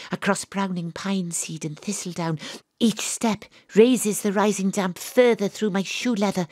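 A woman playing a gruff, dogged private detective who tells her own case in a dramatic, atmospheric audio drama delivery. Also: mid-range voice, dry recording, clean studio sound, lightly acted. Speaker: lead actress and narrator. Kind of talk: first-person audio drama narration.

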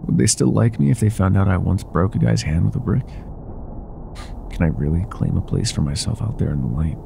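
A man playing an older ex-mafia boyfriend in an intimate ASMR roleplay, speaking softly and affectionately with some hesitation. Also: sits low, expressive, brisk, vocal fry, noisy background, heavily acted. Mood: vulnerable, wistful. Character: older ex-mafia boyfriend, tender, teasing, vulnerable bar owner.

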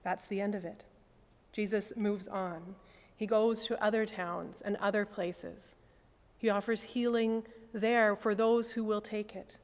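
A woman priest in her forties gives a measured, reflective sermon from a lectern stand mic. Her mid-pitched voice lets each phrase fall softly at the end. The long reverb of a large cathedral rings behind her words. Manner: measured, reflective preaching with soft falling phrase endings.

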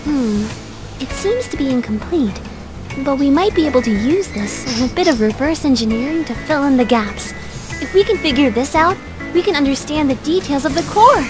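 A woman's voice: sits high, lively intonation, normal speed, music in the background. A woman playing a confident, playful young female engineer in a voiced visual novel game. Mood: explaining, eager. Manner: playful, self-assured.

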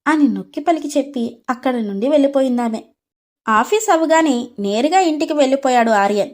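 A woman's voice: high voice, animated, brisk, darkish tone. A woman storyteller reading scripted narration for a story.

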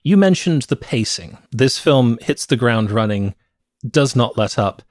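A middle-aged man co-hosting a movie podcast, explaining his opinions in a measured, conversational way into a close microphone. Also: medium pitch, expressive, no room sound. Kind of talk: conversational film review. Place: small cluttered home room. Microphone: close podcast microphone, headset.

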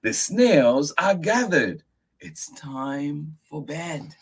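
A man's voice: fairly high pitch, lively intonation, very slow, breathy edge, pitched above the performer's own voice, heavily acted. A man doing a patient storybook grandfather. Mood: patient coaxing. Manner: patient, repeating himself gently. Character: patient, gentle old grandfather.

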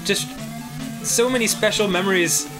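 A man's voice: sits high, moderate pace. A man from New Jersey, a co-host of a let's-play show, telling rambling, self-mocking stories in casual chat and laughing often. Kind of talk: casual chat while a friend plays a game. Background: retro game music.

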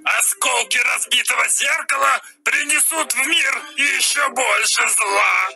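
An actor voicing a gleeful evil male troll who shouts boastfully about his mirror in a children's audio fairy tale. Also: medium pitch, a little slow, close to an everyday voice. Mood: gloating. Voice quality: gravelly, shouting. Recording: with music behind.

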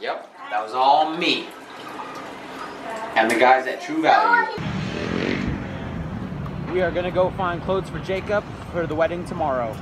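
A man's voice: on the high side, a little slow, pauses often, background noise. A man in his thirties chatting casually and playfully as a family vlogger, recorded on a handheld camera. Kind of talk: casual handheld vlog chat. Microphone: phone or small camera at arm's length. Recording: loud music behind, roomy home video sound.

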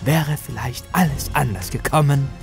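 A man voicing a gloating, manipulative older villain in a horror story, with a taunting, drawn-out delivery. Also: mid-range voice, gravelly, faint music behind, voice pushed up, natural voice. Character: sinister mocking older man, gloating manipulative dealmaker with supernatural power.

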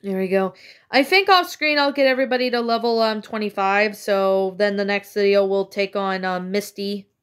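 A woman's voice: medium pitch, moderate pace, clean tone. A woman casually commenting on her own gameplay, chatty and rambling with many fillers, slightly congested from a cold. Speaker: let's play gamer.

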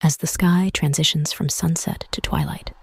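A woman softly guiding a meditation in a whispery, husky voice, soothing and measured, with pauses between phrases. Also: low-pitched, big pitch swings, no room sound. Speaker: meditation guide. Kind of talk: guided meditation, soft scripted narration.

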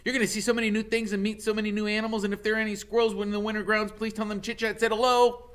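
A man voicing a hyper little squirrel in a children's storybook, chattering rapidly and breathlessly. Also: sits high, flat delivery, a bit bright, clear, resonant tone, voice pushed up. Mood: excited.

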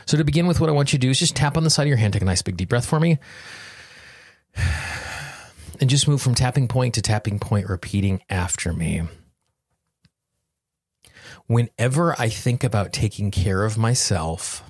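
A man hosting a self-help podcast, coaching listeners directly in measured, deliberate phrases. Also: mid-range voice, animated, a little mellow, no room sound, clean studio sound. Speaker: podcast host and tapping coach.

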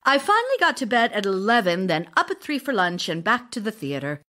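Casting: restless, effusive stage-actress wife for radio play, medium pitch, theatrical and teasing. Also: female voice, very animated, fairly quick, pushed and bright. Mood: excited, boastful. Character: vivacious, self-dramatizing actress wife, devoted yet restless. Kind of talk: audio drama acting, reading love letters.

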